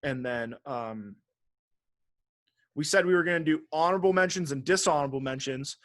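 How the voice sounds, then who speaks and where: A man's voice: on the high side, moderate pace, dry recording, studio quality. A man co-hosting a podcast in casual, teasing chat, swearing freely. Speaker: podcast co-host.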